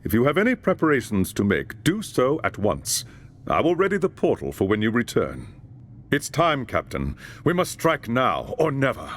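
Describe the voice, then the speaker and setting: A man playing a solemn, commanding loremaster in a fantasy video game, giving grave and urgent counsel in measured phrases. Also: medium pitch, huge pitch swings. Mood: solemn warning. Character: grave, commanding male high lore master mage. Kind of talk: dramatic video game cutscene acting.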